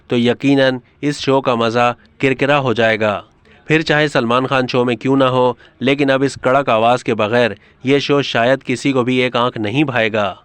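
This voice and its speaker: Clear-voiced man narrating, dry studio sound. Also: mid-range voice, no echo. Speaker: narrator of an entertainment news video. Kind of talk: scripted narration.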